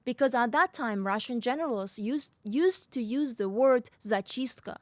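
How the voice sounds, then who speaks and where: A woman's voice: on the high side, measured pace, no echo. A woman from the North Caucasus whose first language is a North Caucasian language, hosting a podcast as an explanatory monologue with wry, ironic asides. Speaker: podcast host.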